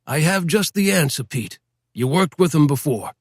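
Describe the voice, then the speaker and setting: Cool mob boss, slow soothing delivery, dry; male narrator voicing. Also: on the high side, animated, dry recording, voice pushed up. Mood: assured.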